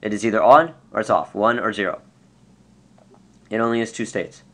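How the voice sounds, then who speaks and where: A man giving an unscripted, casual software tutorial, explaining step by step and correcting himself as he goes. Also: lowish voice, steady tone, moderate pace. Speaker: tutorial presenter. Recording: clear background noise.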